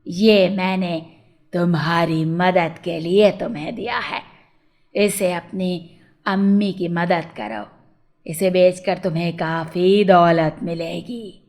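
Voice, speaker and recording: Slow, low-voiced witch acted by a woman. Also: steady tone, darkish tone. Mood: kindly. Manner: slow, drawn-out, emphatic delivery. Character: menacing female cave witch with a fair, honest streak. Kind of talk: children's moral storybook acting.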